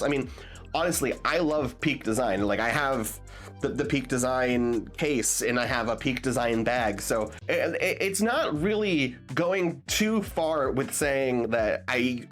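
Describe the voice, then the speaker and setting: Young male gear vlogger, slightly stuffed up, very animated pitch, loose and rambling. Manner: rambling, restarts often, asks viewers "you feel me?". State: stuffed up after being sick. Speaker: tech vlogger. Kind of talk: casual rambling product talk.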